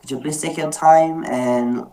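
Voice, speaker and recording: Very low-voiced woman moderating slowly. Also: steady tone, dark tone, low-quality recording. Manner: hesitant, many fillers and restarts. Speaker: meeting moderator. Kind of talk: online group discussion, personal storytelling. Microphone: video call.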